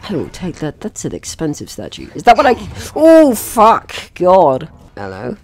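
Low-voiced, animated woman playing games. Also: normal speed. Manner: rambling, playful, swears casually. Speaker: gaming video host. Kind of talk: casual let's play commentary.

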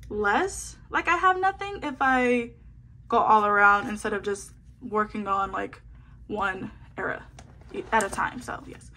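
A woman's voice: a little high, fairly bright tone. A woman chatting casually as she sorts photocards into a binder, bubbly and slangy with lots of little asides. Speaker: photocard collecting vlogger. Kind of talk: casual chat while sorting a binder. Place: indoors at a table, hands seen filming a binder.

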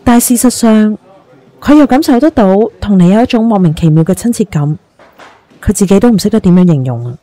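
An actress with a Hong Kong Cantonese accent playing a gentle, caring young best friend in a radio drama. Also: female voice, medium pitch, lively intonation, fairly quick, lightly acted. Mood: concerned, earnest.

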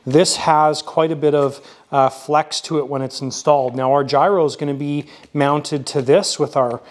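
A man's voice: fairly high pitch, steady tone, moderate pace. A man casually narrating his model aircraft build in a workshop, thinking aloud with frequent fillers.

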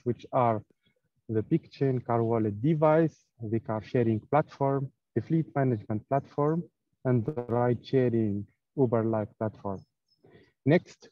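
Man pitching over a tinny video call. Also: no echo. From Tunisia. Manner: slightly halting, many fillers, reading slides. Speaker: startup founder presenting a project. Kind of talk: online conference pitch. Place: hotel room. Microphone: tablet mic on a video call.

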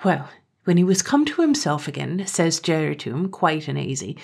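A woman's voice: low voice, big pitch swings, normal speed, vocal fry, darkish tone, slightly rough. A woman storyteller giving a scripted narration of a folk tale.

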